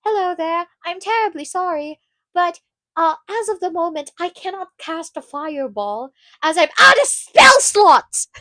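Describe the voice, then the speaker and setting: A woman's voice: very high-pitched, very animated, measured pace, bright, forceful tone, dry recording, pitched above the performer's own voice, heavily acted. A woman voicing an overly polite, posh English lady who speaks in a genteel, apologetic, formal way.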